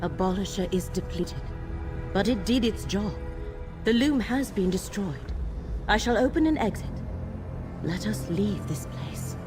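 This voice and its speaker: A woman's voice: mid-range voice, animated, a little slow, long pauses, natural voice. A woman voicing a solemn mystical guide in a fantasy video game, speaking formally as if chanting invocations. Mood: calm, commanding. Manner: formal, incantatory. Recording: loud game music over voice.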